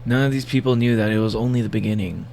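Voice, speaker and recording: A man with a gravelly voice giving a casual livestream reaction, rambling and joking playfully as he comments and reads bits aloud. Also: medium pitch, steady tone, quick pace. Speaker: streamer reacting to horror videos.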